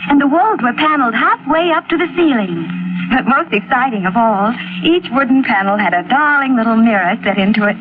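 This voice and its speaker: An actress playing a fragile, haunted young wife who narrates in a dramatic 1940s radio mystery style. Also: female voice, a little high, lively intonation, brisk, background noise, close to an everyday voice. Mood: dreamy, delighted. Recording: tinny vintage radio recording with loud music.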